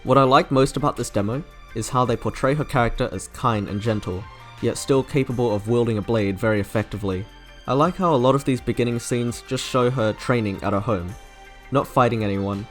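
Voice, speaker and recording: A man's voice: medium pitch, a little fast, soft background music. A man reading a scripted video review as its narrator. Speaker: video essay host ranking game trailers.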